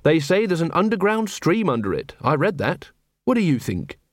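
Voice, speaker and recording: A man's voice: on the high side, big pitch swings, normal speed, no echo, studio quality, pitched above the performer's own voice. A man voicing an earnest, naive young city watchman who talks eagerly and literally.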